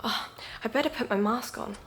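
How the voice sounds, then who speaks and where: A woman's voice: fairly high pitch, brisk, a bit bright, slight background noise, close to an everyday voice. A woman playing a keen amateur investigator who hosts a podcast, speaking with a British accent in a conversational, confiding way. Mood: curious. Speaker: podcast host and narrator. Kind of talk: audio drama acted as first-person podcast narration.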